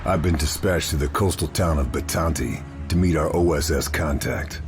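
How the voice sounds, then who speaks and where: An actor playing a terse, stoic World War II sniper officer in a video game, with a deadpan delivery. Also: male voice, very deep voice, normal speed, over music. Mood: grim, clipped. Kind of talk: video game acting, scripted narration and dialogue.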